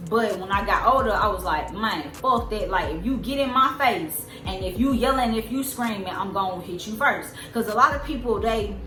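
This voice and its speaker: A woman in her twenties with a soft, squeaky voice, telling a story in a casual, rambling way with lots of fillers. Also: normal speed, hardly any pauses. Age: 20s. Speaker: vlogger. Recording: roomy echo with loud music behind. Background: music.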